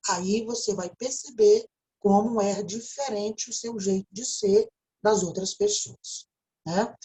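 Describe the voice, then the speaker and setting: A woman's voice: mid-range voice, mellow, rough recording. A woman with a Brazilian Portuguese accent gives a recorded video lecture, explaining step by step and often checking in with a tag question. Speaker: teacher.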